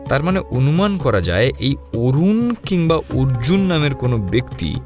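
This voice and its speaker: Man acting as a commanding detective over clear music. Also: mid-range voice, very animated, moderate pace, lightly acted. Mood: intent, probing. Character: sharp, commanding male detective leading a murder case. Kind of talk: Bengali audio detective story acting.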